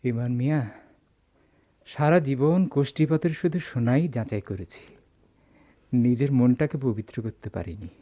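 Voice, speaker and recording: An actor playing a proud gold merchant boss in a radio drama, speaking formal Bangladeshi Bengali with theatrical emphasis. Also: male voice, mid-range voice, normal speed, long pauses, warm tone, natural voice. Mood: remorseful, pleading. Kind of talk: Bengali radio play acting. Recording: dull lo-fi radio drama recording.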